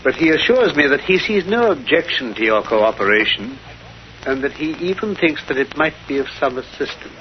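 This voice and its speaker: An actor playing an assured, cerebral detective in a 1930s radio drama, with precise, formal, theatrical diction. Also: male voice, a little high, very animated, a little fast. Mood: reading aloud. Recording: dull, noisy 1930s radio recording.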